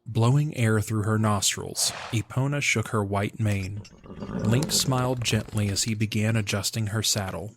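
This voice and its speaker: A man's voice: lowish voice, measured pace, creaky, background noise. A man giving scripted narration of a fantasy audio drama as its narrator.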